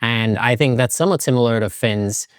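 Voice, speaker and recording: A man's voice: medium pitch, fairly quick, clean tone, no echo, clean studio sound. A middle-aged man from Finland, talking in a reflective, loose, casual vlog style. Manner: reflective, loose, hedging with "like" and "kind of". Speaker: vlogger.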